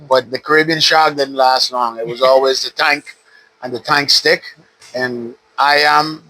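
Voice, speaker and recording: A man in his fifties from the Bahamas, a retired boxer calmly telling his story in an interview at a boxing gym. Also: a little high, low-quality recording. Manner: relaxed, steady storytelling with frequent "uh". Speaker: retired pro boxer, guest. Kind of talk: casual interview.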